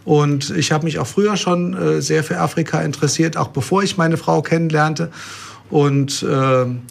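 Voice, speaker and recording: A middle-aged man with a gravelly, husky voice, pitched a little above most men's. He talks easily and explains things plainly, with a dry, joking touch. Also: steady tone, normal speed. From Germany. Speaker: pastor and guest. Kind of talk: casual interview talk.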